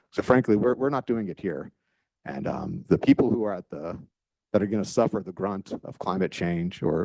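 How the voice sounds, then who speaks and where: A man speaking informally on a panel, discursive and halting, often repeating his phrases as he goes. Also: deep voice, a little mellow, no room sound, rough recording. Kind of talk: informal unscripted panel talk.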